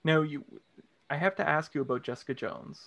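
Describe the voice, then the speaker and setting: A man in his thirties hosting a film interview show from a home room, reading a scripted intro and then asking questions with halting restarts. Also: fairly high pitch, fairly bright tone, a little hiss or hum. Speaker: host. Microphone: large studio condenser mic with headphones.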